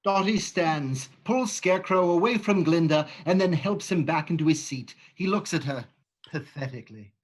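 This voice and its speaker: A man reading the stage directions in a livestream script reading, steady and matter-of-fact. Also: a little high, moderate pace.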